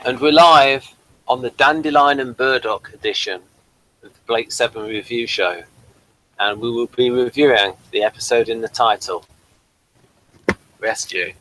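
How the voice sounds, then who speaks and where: A man hosting a TV review show in casual, unscripted chat, with a rambling, halting delivery. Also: medium pitch, fairly bright tone, low-quality recording.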